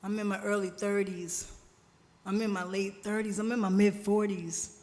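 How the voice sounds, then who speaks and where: A woman preaching a sermon at a church service, slow and emphatic, drawing responses from the congregation, her family from Jamaica. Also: mid-range voice, level tone, darkish tone. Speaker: guest speaker at a church service. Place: church sanctuary. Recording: church hall reverb.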